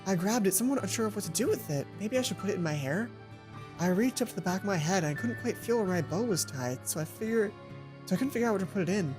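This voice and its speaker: A woman's voice: medium pitch, expressive, quick pace. A woman reading a visual novel aloud as a let's play host, narrating expressively and breaking off for casual comments. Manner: performs dialogue, breaks off to comment. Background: game music.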